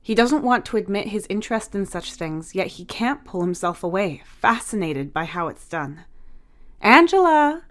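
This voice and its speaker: A woman's voice: lowish voice, normal speed, few pauses. A woman narrating a scripted short story.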